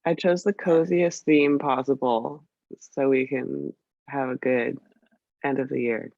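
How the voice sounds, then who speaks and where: A woman with a cold talks through a work update on a video call, her voice scratchy and gravelly. She hesitates often and fills pauses as she goes. The call audio is dull and dry. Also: very deep voice, steady tone, a little mellow, no room sound. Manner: chatty, hesitant, many fillers. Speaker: meeting presenter. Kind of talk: casual work meeting update.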